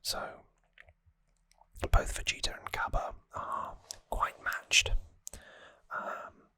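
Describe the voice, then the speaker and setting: An ASMR host whispering an informal recap close to the microphone, in short phrases with hesitant pauses. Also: pushed and bright, dry recording.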